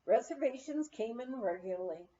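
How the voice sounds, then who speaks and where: A woman reading a memoir aloud as an audiobook narrator, telling it in an expressive storytelling manner. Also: a little high, normal speed, lo-fi sound. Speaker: audiobook reader.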